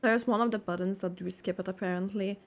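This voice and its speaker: A woman's voice: medium pitch, fairly even intonation, quick pace, a little hiss or hum. A woman doing casual gaming reaction commentary, musing aloud in short interjections.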